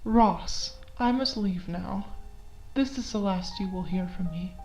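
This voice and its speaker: A woman voicing a solemn, mysterious guide, speaking slowly, gravely and in hushed tones. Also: medium pitch, lightly acted. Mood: solemn farewell. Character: solemn, mysterious departing guide with final message. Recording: echoing voice with music behind.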